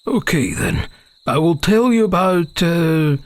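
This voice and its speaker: A man's voice: a little high, sing-song, slow, heavily acted. A man playing an uneasy half-elven father who tells a dramatic tale to his young daughter in a fantasy audio drama. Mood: hesitant. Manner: hesitant openings, dramatic storytelling.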